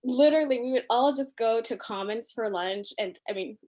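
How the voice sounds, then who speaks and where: A young woman from Ethiopia, chatting as a podcast guest over a video call from her living room, animated and full of fillers. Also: a little high, moderate pace. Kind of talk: casual conversation.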